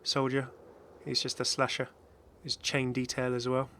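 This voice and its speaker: A man's voice: medium pitch, completely flat delivery, moderate pace, fairly bright tone. A man giving casual, off-the-cuff game commentary as a video host, chatty and rambling with enthusiastic asides.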